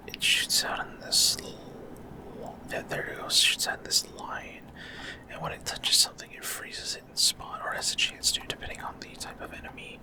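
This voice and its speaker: A man speaks in an unvoiced whisper, giving casual, halting ASMR commentary on gameplay and explaining as he goes, close to the microphone. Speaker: gaming video host.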